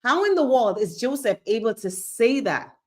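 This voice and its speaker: A woman from Nigeria delivers a sermon-style teaching, with her pitch swinging widely as she moves from story to exhortation. She acts out small scenes and fires off rhetorical questions, often pausing to check in with her listeners. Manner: animated, keeps checking in with "okay?".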